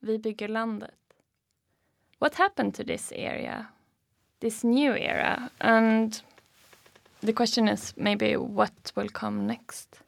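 Lady hosting a radio doc, kinda high voice, takes her time. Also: steady tone, slow pace, creaky, pauses often, no echo. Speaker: radio host and interviewer. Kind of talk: documentary hosting and interviewing.

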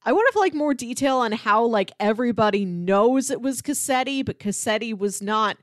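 A woman's voice: fairly high pitch, animated, moderate pace, dry recording, studio quality. A woman co-hosting a casual film discussion, retelling things in imagined quotes as she goes. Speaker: podcast co-host.

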